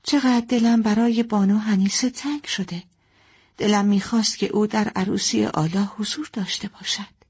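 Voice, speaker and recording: Casting: woman playing gentle storybook mother, raised pitch, lively melody, caring and expressive. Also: breathy edge, heavily acted. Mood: wistful. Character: caring, gentle mother in an old Arabian household.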